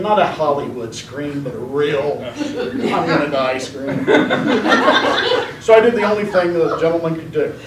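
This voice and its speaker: A man telling a tall tale as a speech contestant, in a measured, deadpan comic storytelling style. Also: fairly high pitch. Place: indoor room at a speech contest. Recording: roomy, distant mic, faint noise.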